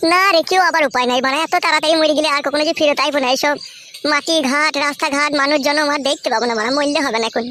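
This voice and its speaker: A woman's voice: high-pitched, rapid-fire, sharp tone, a little hiss or hum. A woman voicing a crude, whiny, hapless young village man in a comic cartoon, grumbling and complaining. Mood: self-pitying. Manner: grumbling, foul-mouthed, whining complaints. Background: music.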